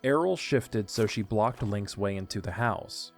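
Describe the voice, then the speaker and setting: A man's voice: lowish voice, expressive, measured pace, soft background music. A man narrating a scripted story for an audio drama.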